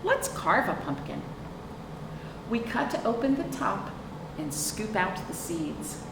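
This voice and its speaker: A woman's voice: medium pitch, big pitch swings, slow pace. A woman hosting a children's storytime, reading aloud expressively and prompting young listeners with simple questions. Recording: roomy, distant mic, clearly noisy.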